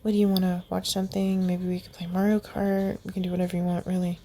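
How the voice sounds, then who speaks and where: A woman voicing a kind, gentle young man in a mask who talks in a soft, reassuring way, in a storybook reading. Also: lowish voice, flat delivery, fast talker. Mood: cheerful.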